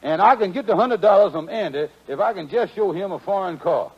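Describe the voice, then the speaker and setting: A man's voice: sits high, fairly quick, breathy edge. An actor playing a scheming, folksy man with ungrammatical speech, in 1940s radio comedy style. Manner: folksy, ungrammatical, hesitant openings. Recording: muffled, noisy 1940s radio recording.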